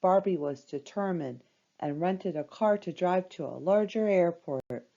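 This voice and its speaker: A woman narrating a scripted children's storybook in an expressive, dramatic read-aloud style for young listeners. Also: on the low side, measured pace, gravelly, highs cut off.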